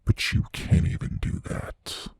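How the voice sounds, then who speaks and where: A man voicing an ancient immortal monster in a horror ASMR roleplay, hushed and breathy, slow and menacing, world-weary yet savoring fear. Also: no echo, clean studio sound, natural voice. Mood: weary, brooding. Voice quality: hushed, husky, breathy low voice. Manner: slow, menacing, philosophical, intimately taunting. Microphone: close studio mic, dry.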